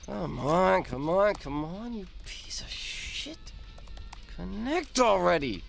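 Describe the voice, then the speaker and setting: An actor playing an irritable know-it-all hacker in an audio drama, with a condescending manner. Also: male voice, high voice, sing-song, slow, gravelly, fairly bright tone, faint music behind, heavily acted. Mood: frustrated. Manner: exasperated, condescending.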